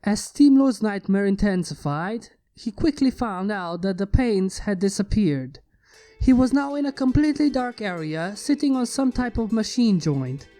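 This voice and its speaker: Mellow fan fiction narrator over music. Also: medium pitch, lively intonation, moderate pace. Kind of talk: dramatic scripted story narration. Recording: music behind the voice.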